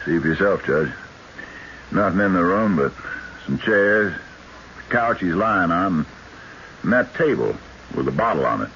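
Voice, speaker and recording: An American actor playing a folksy old-West sheriff with a rustic drawl in a radio drama. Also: male voice, sits low, animated, a little slow, pauses often, a bit bright, heavily acted. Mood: matter-of-fact. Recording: muffled, noisy old radio broadcast.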